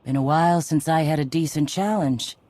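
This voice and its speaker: An actress playing a tough, cocky Mandalorian clan leader in a video game, brash and sardonic in a casual way. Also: female voice, sits low, brisk, warm tone. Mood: cocky challenge.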